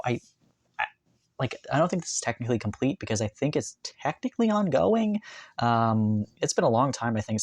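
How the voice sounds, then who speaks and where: A man in a bedroom chats about his new books, halting often on ums and uhs, then picking up with excitement. His voice sits at an ordinary middle pitch. The sound is dry, with almost no room echo. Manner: rambling, many ums and uhs, enthusiastic. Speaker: manga collector vlogger. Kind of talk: casual haul video talk. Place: bedroom, indoors.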